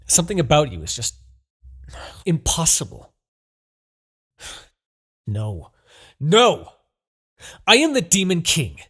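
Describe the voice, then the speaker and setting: A man's voice: medium pitch, gravelly, breathy edge. A man playing an imperious, obsessive demon king who is easily exasperated, in a theatrical, brooding fantasy audio roleplay. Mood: defiant. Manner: theatrical, brooding, swinging between murmurs and outbursts.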